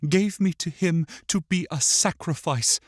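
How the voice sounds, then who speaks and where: A man's voice: a little slow, no room sound, studio quality. A man voicing a frightened, tormented ghost boy in an audiobook, with pleading, emotional delivery. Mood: bitter grief. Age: child. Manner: pleading, emotional, shrill when upset. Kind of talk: dramatic audiobook character acting.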